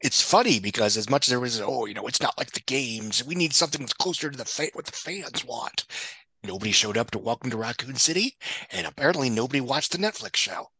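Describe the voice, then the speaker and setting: Bright, husky, animated man. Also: medium pitch, a little fast, no echo, low-quality recording. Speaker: guest box office commentator. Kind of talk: casual film analysis.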